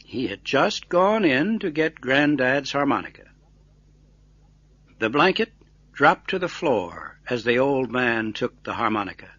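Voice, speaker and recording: A man reading a scripted story for English learners in a deliberate, clearly enunciated way. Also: medium pitch, a bit bright, dry recording, dull audio.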